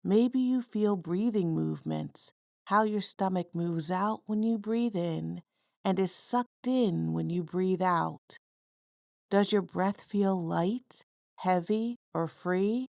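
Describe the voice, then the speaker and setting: A woman leads a guided meditation in a calm, measured manner. Her pitch sits a little low and she speaks very slowly, with some creak in the voice. She gives each instruction plainly and evenly. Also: no room sound, clean studio sound. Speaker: mindfulness guide. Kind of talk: guided body scan meditation narration.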